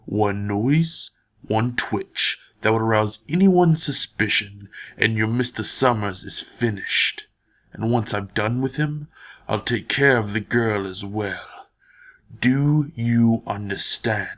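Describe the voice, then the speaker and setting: Male actor as hard-hearted audio drama stepfather, sneering, contemptuous, unhurried delivery, lowish pitch. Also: a bit bright. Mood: menacing. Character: cruel, domineering, hard-hearted evil stepfather, a businessman.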